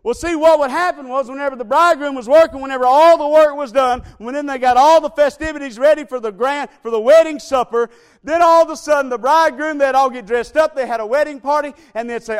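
A man preaching a sermon, swinging between loud build-ups and quiet, low appeals to the congregation. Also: fairly quick.

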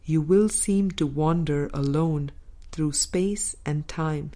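A woman reading the words of God as a calm, loving, wise teacher in a parable, solemn and tender. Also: low-pitched, slow pace.

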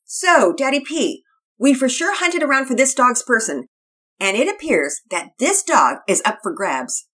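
A woman's voice: high voice, big pitch swings, measured pace, fairly bright tone, voice pushed up, heavily acted. A woman voicing an enthusiastic, slangy surfer-dude teenage boy in a read-aloud story. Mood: excited. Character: laid-back surfer-dude teen boy.

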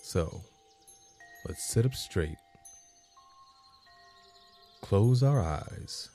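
Very deep, slow-spoken man guiding meditation. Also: expressive, warm tone, faint music behind. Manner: slow, measured phrasing with long pauses. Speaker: meditation guide and podcast host. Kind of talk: guided meditation narration.

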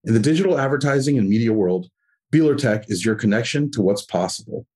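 A man's voice: mid-range voice, expressive, fast, a little mellow, breathy edge. A man hosting a podcast, reading sponsor copy and asking questions in a friendly, enthusiastic way. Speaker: podcast host.

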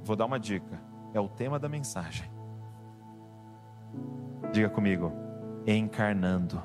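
A man's voice: lowish voice, lively intonation, measured pace, music in the background. A man preaching a sermon to a congregation, emphatic and rising to passionate exhortation. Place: church with keyboard behind.

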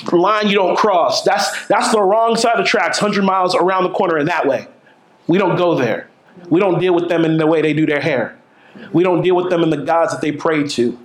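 A man in his forties with a New York accent delivers a sermon, joking with the room and tripping over repeated words as he restarts. His voice sits high for a man. The sound carries a roomy echo, as in a church hall. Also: a bit bright. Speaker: pastor. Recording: echoey room.

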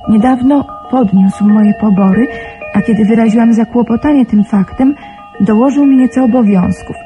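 An actress in a Polish radio drama playing a devoted, anxious young nurse, earnest and polite. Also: female voice, mid-range voice, dark tone, close to an everyday voice.

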